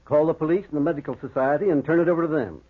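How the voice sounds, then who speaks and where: On a thin, muffled vintage radio recording, a man acts an educated doctor in a horror play. His voice is a little high and rough-edged. He speaks with grave, formal precision. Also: racing, natural voice. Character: earnest, educated male doctor recounting a horror. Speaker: actor and first-person narrator. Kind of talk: old-time radio horror drama acting.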